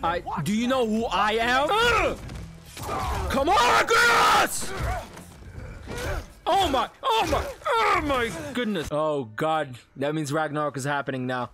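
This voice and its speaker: A man giving excited gameplay reaction commentary, often shouting and repeating his phrases rapidly. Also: extremely high pitch, vocal fry. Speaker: game streamer and video creator. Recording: loud game music behind. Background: video game music and sound.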